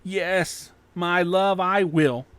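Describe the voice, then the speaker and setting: Male reader playing anxious young swordsman, stammery, higher pitch. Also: fairly even intonation, very slow, fairly bright tone, clear, resonant tone, no room sound, full character voice. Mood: nervous. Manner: anxious, stuttering when scared.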